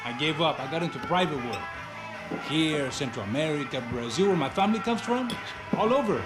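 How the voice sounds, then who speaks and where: A streetwise ex-cop bodyguard played by a man with a high, swooping voice and a brash, chummy, persuasive manner. It is video game cutscene acting with music behind it. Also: lively intonation, fairly quick, lightly acted. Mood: easygoing, persuasive. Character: streetwise, affable male ex-cop turned private bodyguard.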